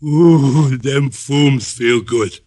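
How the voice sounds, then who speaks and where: An actor in an old radio drama playing a sickly, complaining pickpocket boss with a stuffed-up head cold and slangy hoodlum talk. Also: male voice, mid-range voice, drawn-out words, darkish tone. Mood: dawdling, wily. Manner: whiny, complaining, dawdling, slangy New York hoodlum talk. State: congested with a chronic cold. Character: sickly, wheezy underworld pickpocket boss, fond of his vaporizer. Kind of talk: 1940s-50s radio acting.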